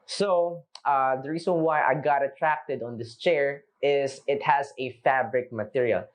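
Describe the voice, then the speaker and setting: A man's voice: mid-range voice, fairly even intonation, measured pace. A young Filipino man, a native Filipino speaker, giving an unscripted casual product review, loose and chatty with lots of fillers as he talks to viewers. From Philippines. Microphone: camera mic.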